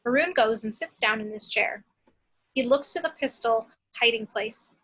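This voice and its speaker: A woman's voice: fairly high pitch, moderate pace, a bit bright, husky. A woman reading screenplay action and scene headings as scripted narration.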